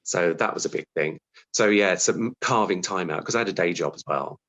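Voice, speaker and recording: British guy, lowish voice, chatting in an interview about himself, thoughtful, kinda voices his own thoughts. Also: fairly quick, a bit bright, lo-fi sound. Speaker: interview guest, TV writer. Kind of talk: conversational interview answer, recounting own career.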